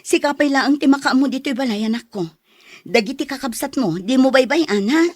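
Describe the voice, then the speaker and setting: A woman's voice: high voice, normal speed, no echo, studio quality. A woman playing a dutiful, long-suffering wife and mother in an Ilocano radio drama, earnest and reproachful. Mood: caring, earnest.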